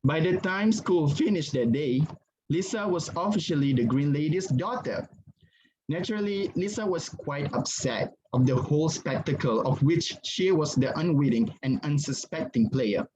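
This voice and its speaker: A man's voice: fairly high pitch, animated, moderate pace. A man narrating a story as a dramatic reading. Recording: dull lo-fi sound, background noise.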